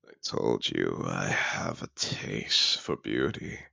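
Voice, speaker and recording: Fantasy audio drama: male actor as seductive dragon patron, courtly and possessive, unhurried, close dry sound, deep gravelly murmur. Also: sharp tone, no room sound, full character voice. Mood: tender, seductive. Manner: velvety, deliberate, courtly. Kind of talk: intimate fantasy audio roleplay, slow dramatic delivery.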